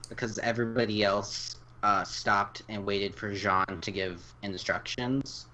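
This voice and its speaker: A man's voice: fairly even intonation, measured pace, bright, forceful tone. A man co-hosting a podcast, sharing his thoughts in a casual fan discussion. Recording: muffled low-fi audio, faint noise.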